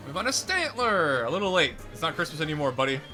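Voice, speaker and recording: A young man chatters through a game playthrough at a rapid clip, his voice pitched a bit high and edged with a slight rasp. He rambles and corrects himself as he goes. Faint game music plays underneath. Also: sing-song, bright, forceful tone. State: slightly raspy, crackly voice. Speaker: let's play host. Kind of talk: casual game commentary.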